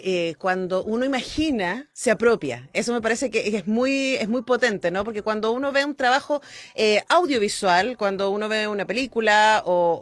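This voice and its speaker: A woman's voice: fairly high pitch, no echo. Chilean woman hosting radio, close dry sound. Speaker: radio host. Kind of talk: conversational radio interview.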